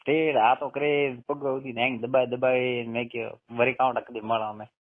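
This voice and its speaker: A man's voice: medium pitch, little pitch movement, normal speed. A man with a Marwari accent from western Rajasthan chatting casually on a private phone call. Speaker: phone caller. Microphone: telephone line. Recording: tinny phone call recording.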